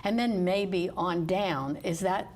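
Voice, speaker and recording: A woman's voice: fairly low pitch, slow, a little mellow. An American woman from West Virginia hosting a talk show in a conversational interviewing style. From West Virginia, United States.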